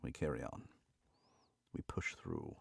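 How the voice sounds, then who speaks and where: A man's voice: unhurried, dry recording. A man with a stuffy nose from allergies who hosts a livestream, chatting casually and then reading a novel aloud. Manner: casual and chatty, laughs often, corrects his own misreadings. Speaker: audiobook narrator and livestream host.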